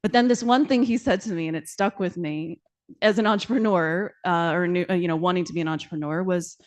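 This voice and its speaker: A woman from China talks about founding her company in an on-stage interview. Her voice sits a little low. She is earnest and chatty, leaning on fillers and slipping in light laughs. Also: fairly quick. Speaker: startup founder. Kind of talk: panel interview answers.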